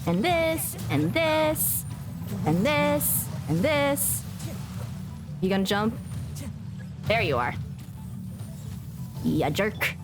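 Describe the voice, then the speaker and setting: A woman chatting casually as she livestreams a game, playful and expressive, often mock-scolding. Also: fairly high pitch, extremely slow, long pauses. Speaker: game streamer. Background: game music.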